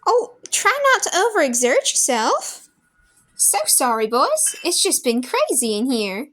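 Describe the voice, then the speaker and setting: A woman's voice: high-pitched, wildly swooping pitch, unhurried, fairly bright tone, heavily acted. A woman voicing a fussing, apologetic cartoon character.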